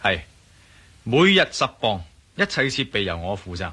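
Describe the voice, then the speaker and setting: An actor playing a confident, determined adventurer hero in a Cantonese radio drama, breezy and assured, with a Hong Kong Cantonese accent. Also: male voice, on the low side, very animated, moderate pace, sharp tone, husky, exaggerated voice.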